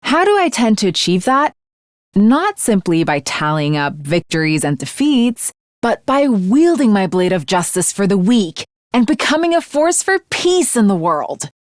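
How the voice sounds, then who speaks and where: A woman's voice: medium pitch, sing-song, unhurried, dry recording, clean studio sound. A woman voicing an earnest teenage swordswoman in an anime game, speaking in formal, archaic, grandiose declarations with chivalrous conviction. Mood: proud, grandiose. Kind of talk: English anime game voice acting.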